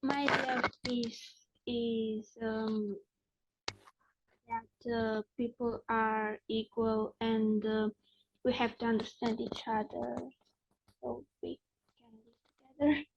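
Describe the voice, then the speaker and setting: A woman from the United States introducing herself on a video call, quiet and hesitant and far from the mic. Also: fairly high pitch, flat delivery, slow pace, pauses often, muffled recording. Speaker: participant. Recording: distant, noisy call audio.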